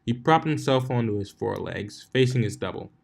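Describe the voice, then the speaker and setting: A man's voice: mid-range voice, lively intonation, a little fast, creaky. A man reading fan fiction aloud as a scripted story narration.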